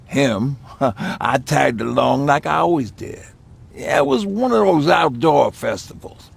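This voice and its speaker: An actor playing an older, easygoing survivor and mechanic, gravelly and husky, talking in a folksy way. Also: male voice, medium pitch, heavily acted. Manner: folksy, reminiscing. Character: weathered, easygoing old male survivor and mechanic. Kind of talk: game voice acting, conversational.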